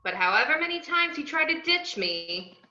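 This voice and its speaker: A woman playing a brash, bubbly, unhinged young antiheroine, narrating her own story with cheeky, expressive delivery in a table read. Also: sits high, moderate pace, full character voice. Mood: breezy. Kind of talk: first-person scripted narration in an amateur table read. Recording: roomy, muffled online call audio.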